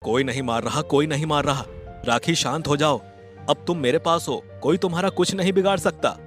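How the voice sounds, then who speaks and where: A man voicing a calm, protective young husband in a story, reassuring in manner. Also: fairly high pitch, fairly quick, soft background music, close to an everyday voice. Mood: soothing.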